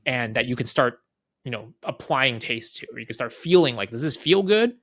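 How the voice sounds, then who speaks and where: A man's voice: mid-range voice, animated, moderate pace, a bit bright, no echo, studio quality. A man giving casual interview explanations as a guest, thinking aloud and often checking in with "right?". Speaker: head of product, interview guest.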